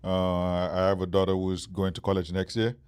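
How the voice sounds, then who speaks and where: A man's voice: low voice, monotone, moderate pace, a little mellow, clean tone. A Nigerian man in his forties, a startup founder, answering interview questions with many fillers and restarts. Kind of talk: interview conversation.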